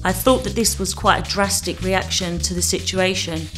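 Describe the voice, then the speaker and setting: A woman playing a measured, level-headed senior ward nurse in a scripted drama. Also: on the low side, music in the background, lightly acted.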